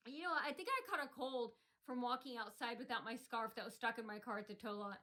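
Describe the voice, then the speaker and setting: A woman's voice: on the high side, fairly quick, very noisy. A woman vlogger talking straight to her viewers in a long, rambling monologue, full of fillers and restarts.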